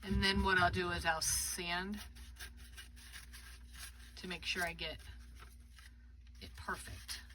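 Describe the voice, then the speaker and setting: A woman's voice: lowish voice, little pitch movement, takes it slowly, long pauses. A congested woman chatting and thinking aloud as she demonstrates a craft project live. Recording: distant mic with background noise.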